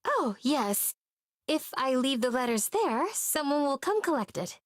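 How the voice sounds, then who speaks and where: A woman's voice: high-pitched, sing-song, measured pace, no room sound, clean studio sound, pitched above the performer's own voice. A woman voicing a polite, earnest young exiled princess in a visual novel, with genteel, formal phrasing. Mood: polite, cautious.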